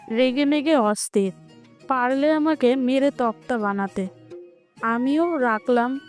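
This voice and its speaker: A woman's voice: a little high, darkish tone, pitched above the performer's own voice, close to an everyday voice. A woman voicing a playful, chatty, bossy young woman who acts like a big sister in a romantic audio story.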